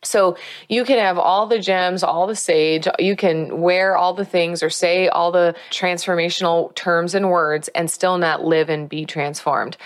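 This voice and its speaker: Low-voiced woman teaching gently. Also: no echo. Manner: earnest and coaching, slows down to stress key words. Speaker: spiritual teacher and author. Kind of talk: guided spiritual teaching.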